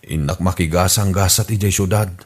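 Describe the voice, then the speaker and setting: A man's voice: low voice, a little fast. A man with an Ilocano accent acting in a radio drama, earnest and pleading. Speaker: voice actor in an audio comedy drama. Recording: radio drama recording with clear background noise.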